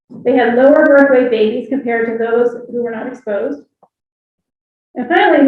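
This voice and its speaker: A woman panelist giving a prepared, measured presentation read from notes. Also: fairly high pitch. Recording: distant, phone-like, echoey room.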